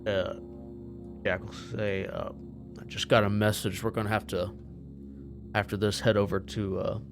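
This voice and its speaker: A man playing a tabletop roleplaying game, improvising casually and haltingly as he speaks for his character. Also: fairly low pitch, flat delivery, music in the background.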